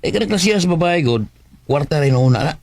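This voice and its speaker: A man's voice: fairly high pitch, animated, quick pace, darkish tone, no echo. An actor playing a brash, worldly male friend with a Filipino Cebuano accent, blunt and mocking, in a radio drama. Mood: scoffing. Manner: cajoling, scoffing, blunt. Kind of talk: Bisaya radio drama acting. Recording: radio drama over prominent music. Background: prominent background music.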